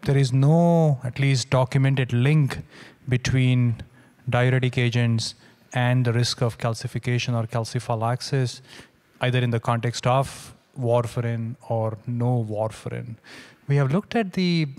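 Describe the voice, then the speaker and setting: Male medical panelist, thirties, mid-range voice, cautious, measured phrasing. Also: mid-range voice, steady tone, a little mellow. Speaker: physician panelist. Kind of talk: careful explanatory panel answer. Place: large conference hall stage. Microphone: handheld microphone.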